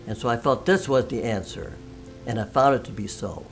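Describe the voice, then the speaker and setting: A man's voice: mid-range voice, fairly quick, soft background music. A man in his nineties giving an unscripted patient testimonial, plain-spoken, enthusiastic and emphatic. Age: 90s.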